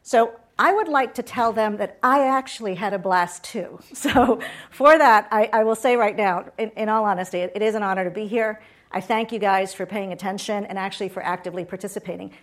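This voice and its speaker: A woman's voice: medium pitch, a little fast. A middle-aged woman from Puerto Rico giving a chatty guest talk to students, often checking in with the audience. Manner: chatty, asks audience questions. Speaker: guest speaker. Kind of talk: lively campus talk.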